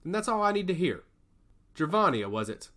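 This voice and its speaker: A man's voice: sits high, big pitch swings, fairly quick, bright, forceful tone, pitched above the performer's own voice, exaggerated voice. A man as a commanding, protective god of chaos. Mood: decisive. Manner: commanding, blunt. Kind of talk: fantasy audio story dialogue.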